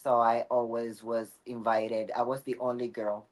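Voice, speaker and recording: A middle-aged woman from Puerto Rico with a Puerto Rican accent, a Spanish speaker, chatting as a guest in an interview with earnest, halting phrasing. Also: extremely low pitch, level tone, rough recording. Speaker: guest athlete. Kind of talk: casual interview chat.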